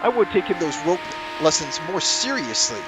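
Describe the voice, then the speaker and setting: A man's voice: on the high side, big pitch swings, a little fast, over music, pitched above the performer's own voice, rough recording. A man voicing a young female agent who protests in a flustered way.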